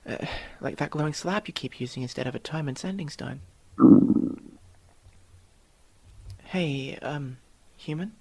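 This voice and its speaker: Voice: mid-range voice, moderate pace, husky. A performer voicing a curious, proper young elf mage student in an ASMR roleplay, inquisitive and a little formal, with hesitant stammers. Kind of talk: ASMR roleplay, one-sided dialogue with the listener.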